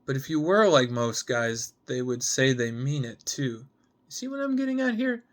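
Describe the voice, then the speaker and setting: A man's voice: mid-range voice, very animated, normal speed, close to an everyday voice. A man voicing a hungover, sarcastic, guarded young woman in a dramatic script reading, blunt and foul-mouthed. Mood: dry, dismissive. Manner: sardonic, blunt, swearing.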